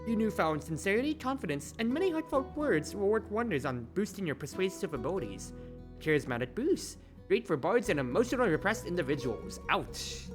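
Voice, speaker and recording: A streamer commentating on a game and reading its text aloud in an expressive, theatrical, exclamatory way. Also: mid-range voice, brisk, music in the background. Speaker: streamer, host.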